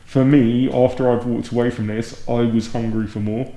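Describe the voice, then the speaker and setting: A man's voice: medium pitch, flat delivery, normal speed, mellow. A man hosting a casual podcast conversation, earnest and effusive in how he talks. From Luton, England. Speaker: podcast host. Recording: roomy, echoey sound.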